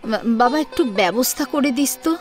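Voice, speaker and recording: A woman's voice: fairly high pitch, expressive, moderate pace, a little mellow, close to an everyday voice. A woman playing an anxious, weary middle-aged mother in an audio drama, hesitant and plaintive. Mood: tired, subdued. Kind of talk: Bengali audio drama acting. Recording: music under the voice.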